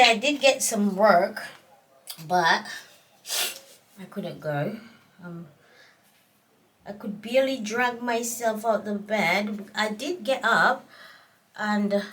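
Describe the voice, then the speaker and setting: A middle-aged woman with a Jamaican accent, vlogging casually while congested from a cold, talking in a slow, tired, rambling way. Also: mid-range voice, distant mic. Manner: slow, tired, rambling talk with many fillers. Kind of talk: casual vlog chat.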